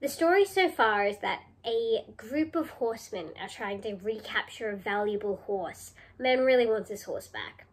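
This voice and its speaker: Higher-voiced woman teaching and reading aloud. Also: lively intonation, normal speed, hardly any pauses. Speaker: primary school teacher. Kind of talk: read-aloud poetry lesson for children. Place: small plain indoor room.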